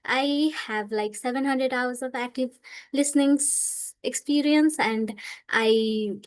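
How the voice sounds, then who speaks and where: Young woman, from Nepal, earnest panelist, fairly high voice, phrasing. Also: takes it slowly. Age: 20s. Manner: earnest, uses "like" often, non-native phrasing. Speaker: panelist, medical student. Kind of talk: online panel discussion.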